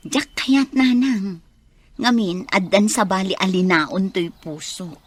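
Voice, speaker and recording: A woman's voice: medium pitch, slow pace, no room sound. An actress playing a lovestruck young woman in an Ilocano radio drama, speaking with an Ilocano accent. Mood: earnest, pleading. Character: lovestruck young woman hosting her birthday drinking party.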